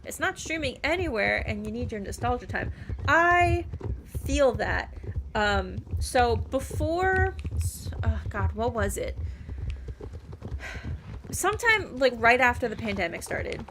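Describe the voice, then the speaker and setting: A woman's voice: fairly high pitch, big pitch swings, slow, long pauses, fairly bright tone, slight background noise. A woman livestreaming a game, chatting and rambling casually and reading things aloud as she plays. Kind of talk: casual stream chat and game commentary.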